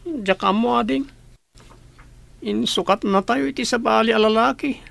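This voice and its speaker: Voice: medium pitch, moderate pace, gravelly, a bit bright, no echo. A performer playing a young, bitter and dismissive older brother in an Ilocano-accented radio drama. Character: older brother, young, bitter and resentful toward absent mother.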